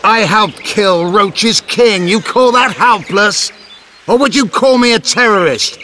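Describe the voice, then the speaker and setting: An actor playing a proud, scornful elf rebel commander in a video game, taunting and deliberate in his delivery. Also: sits high, bright, forceful tone, a little hiss or hum, exaggerated voice. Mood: defiant.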